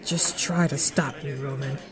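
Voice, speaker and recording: A woman's voice: rumbling low, steady tone, a little slow, crowd noise. A woman acting a tough, scornful woman in her seventies, a domineering crime matriarch with sardonic, theatrical delivery in a motion comic. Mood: defiant.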